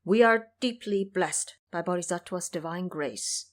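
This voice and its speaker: A woman playing a pious male monk master, formal, measured and courteous, in an audio drama. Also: fairly low pitch, natural voice. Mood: devout.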